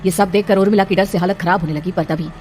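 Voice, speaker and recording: A woman's voice: on the low side, steady tone, quick pace, a little mellow. A woman narrating a scripted horror cartoon story in a dramatic storytelling style.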